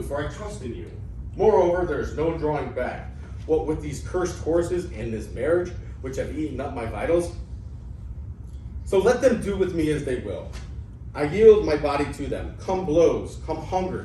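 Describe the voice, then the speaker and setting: Guy playing earthy bumbling debtor in greek comedy, highish voice, exclaims a lot, roomy echo. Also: very animated, a little slow, mellow. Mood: defiant, boastful. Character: bumbling, earthy, eager debt-ridden man seeking schooling. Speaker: amateur actor in a staged play reading. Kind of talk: dramatic reading of ancient Greek comedy. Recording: echoey room, distant mic, background noise.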